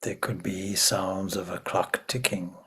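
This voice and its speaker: Slow, breathy man guiding meditation. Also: deep voice, level tone. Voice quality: breathy, husky. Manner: hushed, measured, with long pauses. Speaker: meditation teacher, swami. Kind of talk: guided meditation.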